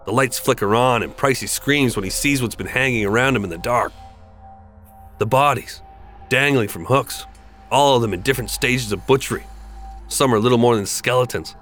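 A man narrating a dark scripted horror story in a measured, deliberate, dramatic way over music. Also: mid-range voice. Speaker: podcast narrator. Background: background music.

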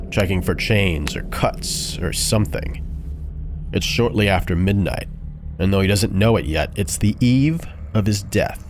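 Slow, low-voiced man telling horror stories. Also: a little hiss or hum. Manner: measured, deliberate, dramatic. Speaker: podcast narrator. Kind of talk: dark scripted horror storytelling. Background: background music.

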